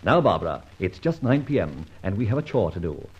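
An Australian actor playing a suave, playful gentleman thief in a 1950s radio drama, brisk and theatrical. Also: low-pitched, big pitch swings, husky, exaggerated voice. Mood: scheming, playful. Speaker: lead character. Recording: dull old radio recording, faint hiss.

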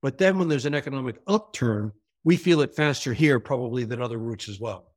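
A man's voice: mid-range voice, expressive, a little fast, darkish tone. A man with a New York area American accent, answering interview questions in a fluent, explanatory, businesslike way. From New York area, USA. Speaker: port executive.

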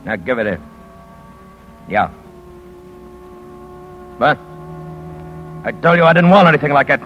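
An actor playing a smug, menacing gangster boss with a tough, dismissive, slangy delivery in 1950s radio drama style. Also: male voice, medium pitch, big pitch swings, a little slow, a little hiss or hum. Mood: irritated. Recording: dull vintage 1950s radio broadcast.

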